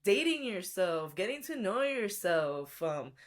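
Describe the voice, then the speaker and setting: A woman's voice: medium pitch, big pitch swings, measured pace. A woman podcast guest, a life coach, telling her story in a reflective, chatty way with frequent laughs. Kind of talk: casual interview storytelling.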